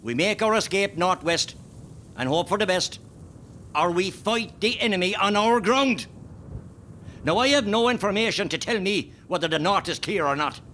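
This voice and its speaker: A man's voice: sits high, expressive, moderate pace, sharp tone, a little hiss or hum. An actor playing a resolute guerrilla commander who firmly rallies his men in a radio play. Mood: grave, rallying. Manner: firm, rallying.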